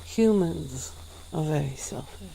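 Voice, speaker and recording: Woman playing an ancient, slow-spoken vampire. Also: lively intonation, vocal fry, slight background noise, exaggerated voice, low-quality recording. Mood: languid. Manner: slow, low, drawn-out purring delivery with soft laughs. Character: centuries-old female vampire, languid and aloof. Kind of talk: ASMR roleplay.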